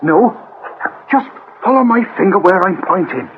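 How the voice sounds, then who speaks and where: Casting: obliging British village constable, rather high voice, bouncy intonation, thin radio-drama recording. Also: male voice, lively intonation, moderate pace. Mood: eager, deferential. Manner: eager, apologetic, obliging. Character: deferential, chatty male local police constable. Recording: tinny band-limited radio recording, faint noise.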